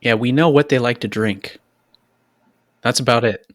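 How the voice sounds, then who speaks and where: A man's voice: mid-range voice, moderate pace, a bit bright, no room sound. A man co-hosting a podcast in casual, conversational chat. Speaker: podcast co-host.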